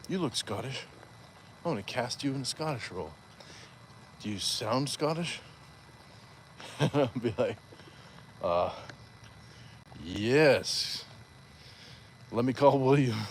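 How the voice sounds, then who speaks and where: A man's voice: mid-range voice, slight background noise. A man hosting a live stream while walking outdoors, chatting casually and reading viewer comments aloud with playful asides. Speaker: livestream host.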